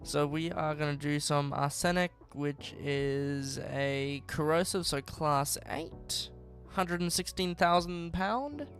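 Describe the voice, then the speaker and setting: Aussie guy rambling on his stream, bit of a higher voice, quiet music in the back. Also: slow, hardly any pauses. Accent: Australian. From Australia. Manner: rambling, self-deprecating, trails off and restarts. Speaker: game streamer. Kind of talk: casual live-stream chat while driving.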